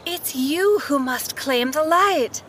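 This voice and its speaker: An actress playing a theatrical fortune teller who speaks in lofty, sweet, singsong rhyme in a fantasy audio drama. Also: female voice, high voice, animated, takes it slowly, fairly bright tone, a little hiss or hum, full character voice. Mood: urgent prophetic.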